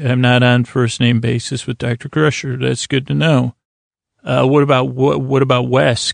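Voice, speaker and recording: Creaky-voiced man, rambling and soothing. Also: medium pitch, no room sound. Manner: meandering and halting, stammers and breaks off mid-thought; lulling, mild-mannered. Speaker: host of a bedtime story sleep podcast. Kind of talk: rambling, soothing sleep-podcast monologue.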